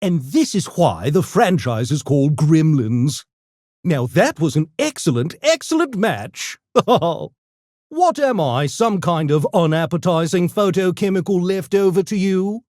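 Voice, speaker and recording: An actor voicing a smug, erudite genius gremlin for a video game, with pompous, mock-genteel, theatrical diction that tips into gleeful mania. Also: male voice, a little high, animated, a little slow, darkish tone, no echo, clean studio sound. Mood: smug, conversational. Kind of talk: video game character voice lines.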